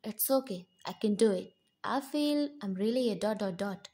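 A woman's voice: mid-range voice, lively intonation, moderate pace, dark tone, natural voice. A woman voicing a bold young wife who is sassy and fierce. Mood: coy. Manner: sassy, fiery.

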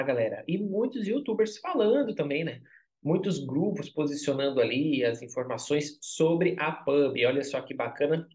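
A young man hosting a crypto channel, talking upbeat and salesy over a screen-share walkthrough, always tagging lines with quick asides. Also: fairly high pitch, darkish tone. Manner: upbeat, salesy, constant "olha só" and "tá?" tags.

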